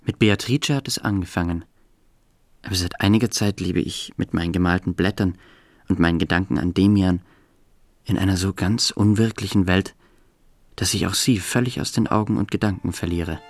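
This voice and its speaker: A solemn inner voice in a literary radio drama. It is very low and introspective, recited with gravity. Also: male voice, steady tone, moderate pace, darkish tone, no echo, studio quality. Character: solemn, introspective man voicing thoughts and messages.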